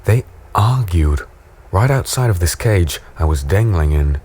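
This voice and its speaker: A man playing a battered, weary warrior who narrates a dark fantasy audio drama in a grim, halting, brooding way. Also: deep voice, animated, slight background noise. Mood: ominous, awed. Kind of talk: dramatic first-person scripted narration. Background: music.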